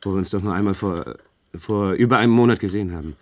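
A man's voice: low-pitched, quick pace. An actor playing a discreet male conspirator in a German science-fiction radio play, explaining and placating. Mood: courteous.

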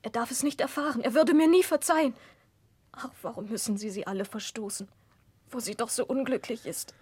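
A distraught young woman in a 1960s radio play. Her voice is high and extremely breathy, recorded dry and close. She speaks with tender, guilt-ridden pleading. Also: fairly quick, a little mellow, no room sound. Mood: guilty, pleading. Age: young adult. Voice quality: breathy, husky. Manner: emotional, pleading. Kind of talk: 1960s German radio drama acting.

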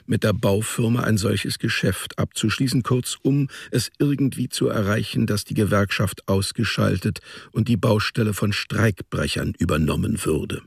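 Male narrator, literary radio drama: precise, measured delivery, creaky and rough-edged, recorded close and dry. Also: fairly low pitch, darkish tone, dry recording.

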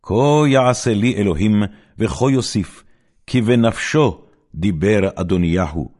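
A man with an Israeli accent voicing a stern, commanding young king of ancient Israel in a solemn, forceful scripture reading. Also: lowish voice, lively intonation, voice pushed up. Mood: indignant, angry.

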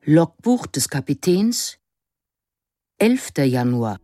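An actress as the announcer of logbook headings in a radio drama, speaking slowly and portentously. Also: female voice, deep voice, sing-song, dark tone, soft background music, heavily acted. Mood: solemn.

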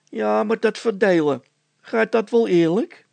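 Man putting on a high-pitched, excitable gravelly workman. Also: unhurried, no echo, heavily acted. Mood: doubtful. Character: excitable, doubtful workman, slow to catch on.

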